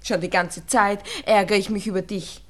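A woman's voice: medium pitch, steady tone, moderate pace, lightly acted. An actress playing a worldly-wise, bossy young Viennese shop girl in a radio drama, chatty and teasing. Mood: scornful, lecturing. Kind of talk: 1960s German radio drama acting.

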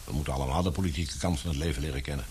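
An actor playing an authoritative older newspaper baron in a radio play, brisk, patronising and fatherly. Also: very deep voice, a little mellow, slight background noise. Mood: lecturing. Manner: commanding, patronising. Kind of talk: Dutch radio play acting.

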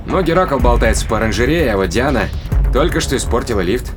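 A man's voice: mid-range voice, animated, a little fast, lightly acted. An actor playing an anxious but reassuring male spaceship technician in a sci-fi audio drama. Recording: music behind the voice.

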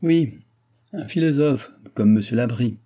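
A man's voice: mid-range voice, measured pace, dark tone, voice pushed up, natural voice. A man voicing a cynical, proud old baron in an audiobook, ranting in sardonic exclamations. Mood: suspicious, mocking. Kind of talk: audiobook character dialogue.

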